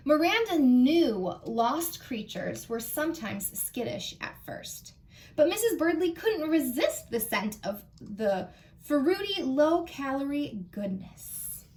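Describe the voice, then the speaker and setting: American lady doing kids storytime, really high voice, animated picture book reading, talks to the kids. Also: slow, slight background noise. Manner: animated, asks listeners questions. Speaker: children's librarian reading storytime. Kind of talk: expressive picture-book read-aloud for children.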